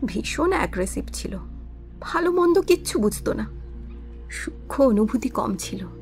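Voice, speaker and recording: High-pitched anxious woman, guilt-ridden, defensive, pleading, crime audio drama, light music underneath. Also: sing-song, normal speed, a little mellow. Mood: subdued.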